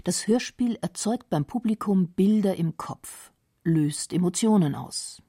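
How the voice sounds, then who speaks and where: A woman narrating a radio documentary script in a measured, explanatory manner. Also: mid-range voice, expressive, dark tone, dry recording, clean studio sound.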